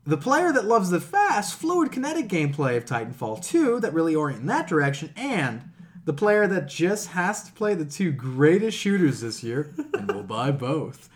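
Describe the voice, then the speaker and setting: Male podcast co-host, animated intonation, sarcastic and incredulous, casual and sweary. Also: a little high, normal speed. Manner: sarcastic, incredulous, laughs easily. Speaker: video game podcast co-host. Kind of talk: casual chat with profanity.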